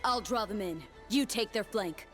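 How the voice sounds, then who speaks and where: A woman's voice: mid-range voice, normal speed, soft background music, close to an everyday voice. A woman playing a determined, stern young warrior leader in a video game, speaking in solemn, commanding, clipped declarations. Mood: brisk, tactical. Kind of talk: video game character voice lines.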